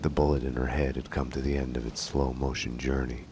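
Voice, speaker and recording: An actor playing a grim, world-weary detective, narrating in a slow, poetic, brooding noir style for a video game. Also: male voice, very deep voice, little pitch movement, background noise. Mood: brooding, somber.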